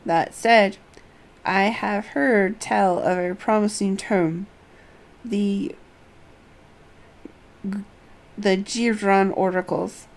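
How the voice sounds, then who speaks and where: Grave fantasy sage voiced by a woman, slow, solemn, archaic, learned. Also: medium pitch, fairly even intonation.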